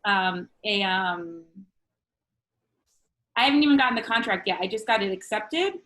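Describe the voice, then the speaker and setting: A woman whose first language is Spanish, reading her own poetry to an online open mic. Her delivery is measured and earnest, loosening into casual chat between poems, and her voice sits at an ordinary middle pitch. She is heard through a video-call microphone at home. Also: animated, a bit bright. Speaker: featured poet.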